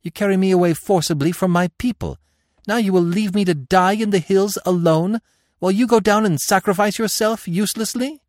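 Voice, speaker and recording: A man's voice: sits high, fairly quick, darkish tone, no room sound, voice pushed up, lightly acted. A proud, urgent princess, as a male narrator lifts his voice for her. The insistent lines ring out in a dry, close recording. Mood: accusing. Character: proud young royal woman, commanding and urgent.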